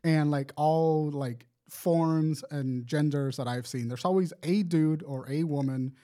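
A man's voice: a little high, a little slow, dark tone. An American man co-hosting a comedy podcast, ranting casually in a blunt, sweary, emphatic way. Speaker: podcast host.

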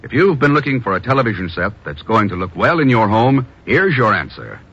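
A man's voice: lowish voice, sing-song, fairly quick, fairly bright tone, breathy edge. A man working as a 1950s radio announcer, reading scripted copy and adverts in a polished, formal salesman's manner. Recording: dull old radio broadcast, music behind.

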